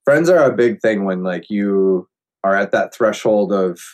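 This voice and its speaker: A low-voiced man in his late twenties talks easily in a relaxed conversation. He rambles, restarts often and leans on "like" between thoughts. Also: a little slow. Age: 20s. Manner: rambling, heavy use of "like". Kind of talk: casual chat.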